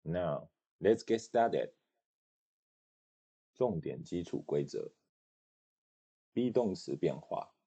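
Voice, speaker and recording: A man narrating a language lesson, calmly explaining rules and translating example sentences. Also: deep voice, takes it slowly, long pauses, husky, highs cut off. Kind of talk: instructional narration.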